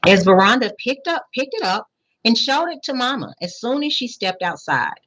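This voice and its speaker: A woman reading a children's picture book aloud as a school principal, pausing to explain and put questions to young listeners. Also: fairly high pitch, very animated, a bit bright, slight background noise.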